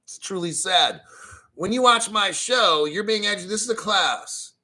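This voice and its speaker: A man hosting a crypto livestream, ranting bluntly and profanely, clearing his throat often and reading viewer comments aloud. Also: high voice, animated, measured pace, pushed and bright. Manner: reads viewer chat donations aloud. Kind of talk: casual livestream rant.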